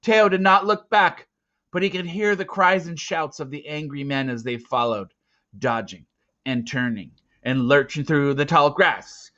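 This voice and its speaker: A man narrating a storybook dramatically, swinging theatrically between hushed and loud delivery. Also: on the high side, unhurried, highs cut off. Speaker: reader of a children's novel.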